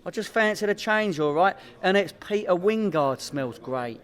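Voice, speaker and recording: An actor playing a hopeless petty criminal posing as a gangster in a comedy radio play, with a London accent and a self-important, evasive manner. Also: male voice, high voice, lively intonation, moderate pace, a bit bright.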